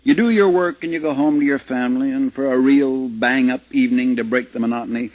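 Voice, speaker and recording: A man's voice: mid-range voice, brisk, natural voice. An actor playing an ordinary middle-aged American family man in a 1950s radio drama, with a folksy, plain-spoken delivery. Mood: wry, grim. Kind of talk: 1950s radio drama acting, first-person narration and dialogue. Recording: muffled, noisy 1950s radio recording.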